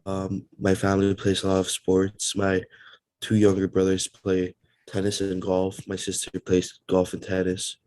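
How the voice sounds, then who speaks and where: Young male student panelist from the Philippines, even flat intonation, dry sound, casual answers. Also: low-pitched, a little slow, no echo. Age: young adult.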